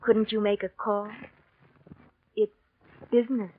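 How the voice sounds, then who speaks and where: An actress playing a seductive, secretive, self-assured art patron with a coy, measured delivery in a 1940s radio drama. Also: female voice, medium pitch, flat delivery, natural voice. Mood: coy, insinuating. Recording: dull lo-fi 1940s radio recording.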